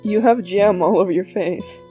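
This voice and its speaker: A woman playing a low-key, determined young child in an audio drama, casual and understated. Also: normal speed, mellow, faint music behind, lightly acted, low-quality recording.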